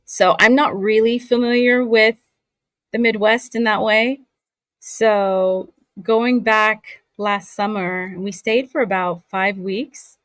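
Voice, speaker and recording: Slow, relaxed woman from Southern California. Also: mid-range voice, dry recording. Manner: relaxed, unhurried, laughs easily. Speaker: guest, voice actor. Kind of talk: casual interview chat. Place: home in Scotland. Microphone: remote video call.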